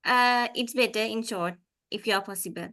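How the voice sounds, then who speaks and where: A woman with a Sri Lankan accent leading an informal online webinar, speaking in a halting, polite way. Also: on the high side, a bit bright. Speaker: psychological counselor leading a workshop. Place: online video call.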